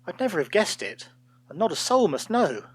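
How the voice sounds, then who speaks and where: A man's voice: high voice, sing-song, moderate pace, breathy edge, voice pushed up, heavily acted, rough recording. A man voicing a flustered old woman, fretful and eager to please, in a storybook tale. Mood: anxious, bewildered. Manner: fretful, then gushing. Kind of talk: storybook character voice.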